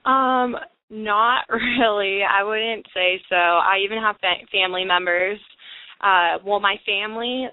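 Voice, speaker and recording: A woman's voice: mid-range voice, a little slow, no room sound. A young woman from California, a guest talking earnestly and chattily with lots of fillers in a casual phone interview. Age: young adult. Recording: tinny phone line.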